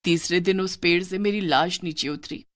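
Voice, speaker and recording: A woman's voice: fairly low pitch, normal speed, dry recording, studio quality, natural voice. A woman voicing a sorrowful long-armed female witch ghost who sells street snacks, in a plaintive tone.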